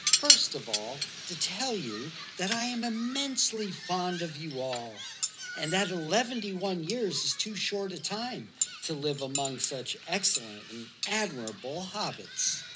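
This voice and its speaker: A woman playing a jovial, eccentric elderly male hobbit in an audio drama, orating in a grand, deliberate manner. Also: on the low side, big pitch swings, heavy background noise. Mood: wry, formal. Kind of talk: theatrical audio drama speech.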